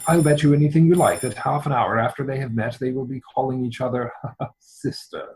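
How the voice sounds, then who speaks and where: A man acting an exasperated, earnest gentleman in a Victorian stage comedy, with formal, mannered phrasing, recorded at home over a video call. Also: mid-range voice, fairly quick, a little hiss or hum, close to an everyday voice, low-quality recording. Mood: confident. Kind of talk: stage comedy dramatic reading.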